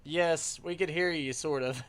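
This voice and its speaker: An excitable man hosting a live stream, laughing often and restarting his sentences as he goes. His voice sits a little high for a man, with a bright, sharp edge and a clean, crisp tone. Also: measured pace. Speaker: stream host. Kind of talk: casual chat on a live stream.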